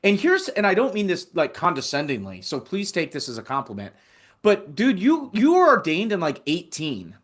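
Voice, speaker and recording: A man's voice: high-pitched, huge pitch swings, normal speed, fairly bright tone. An American man hosting a podcast, speaking in an informal, enthusiastic way. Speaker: podcast host. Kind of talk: casual interview chat.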